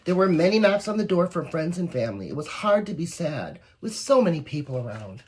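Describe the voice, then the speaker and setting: A woman reading a picture book aloud to young children, expressive and encouraging, with asides to the listeners. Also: lowish voice, brisk, slight background noise. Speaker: volunteer story reader.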